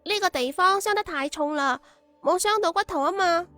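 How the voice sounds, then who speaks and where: A woman's voice: extremely high pitch, normal speed, sharp tone, no room sound. A woman voicing a caring but blunt young woman in audiobook dialogue. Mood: concerned. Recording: music behind the voice.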